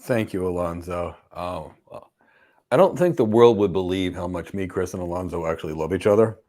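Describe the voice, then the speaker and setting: A man hosting a live stream who is moved and grateful, speaking softly as he thanks his audience. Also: low voice, fairly quick. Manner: touched, grateful, soft-spoken. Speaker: host.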